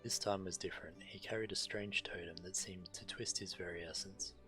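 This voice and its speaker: A man's voice: deep voice, fairly even intonation, brisk, faint music behind. A man streaming a game, casually commenting and reading quest text aloud.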